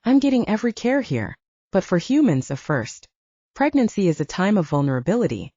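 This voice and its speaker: A woman's voice: medium pitch, big pitch swings, brisk, mellow, no room sound, lo-fi sound. A woman voicing an earnest, careful pregnant human heroine in an audiobook. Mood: careful, homesick.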